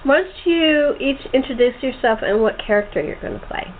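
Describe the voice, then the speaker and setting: A woman's voice: high voice, animated, a little fast. A woman hosting a show as its playwright, chatting casually with her cast. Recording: noisy thin phone-like line.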